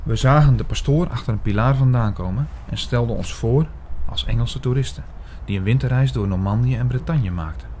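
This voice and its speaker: A man playing an earnest investigator who tells his own story in an audio drama, in a measured way. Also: medium pitch, a little mellow, a little hiss or hum, close to an everyday voice. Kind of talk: scripted audio drama narration.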